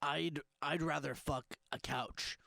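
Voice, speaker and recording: A man's voice: on the high side, fairly even intonation, takes it slowly, gravelly, bright, forceful tone, no room sound. A man co-hosting a comedy podcast, playfully riffing along with improvised jokes. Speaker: podcast co-host.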